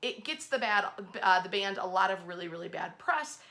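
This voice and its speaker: A woman's voice: medium pitch, animated, moderate pace, bright, forceful tone. A woman hosting an informal history storytelling session, speaking in a dramatic, conversational way with emphatic phrasing. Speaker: host, local history storyteller.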